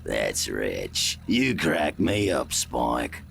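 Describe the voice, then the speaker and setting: An actor playing a hulking, slow-witted, easily amused rat henchman with a gravelly voice and lumbering manner in a video game. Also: extremely low pitch, big pitch swings, fairly bright tone, a little hiss or hum, full character voice. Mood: dim amusement.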